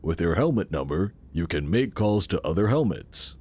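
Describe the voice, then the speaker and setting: A benevolent founder in a futuristic audio drama. He is formal and reassuring, with a very animated, varied delivery. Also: sits low, normal speed, husky, heavily acted. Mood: benevolent assurance.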